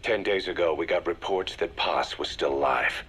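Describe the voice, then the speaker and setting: A man playing a grave, calculating intelligence briefer for mercenaries, measured and serious, in a video game audio drama. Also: low voice, fairly bright tone, slight background noise.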